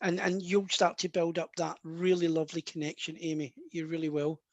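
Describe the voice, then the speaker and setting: A man's voice: a little high, little pitch movement, brisk, darkish tone, no room sound. A man working as a psychic medium in a live online session, talking in a chatty, rambling way full of restarts.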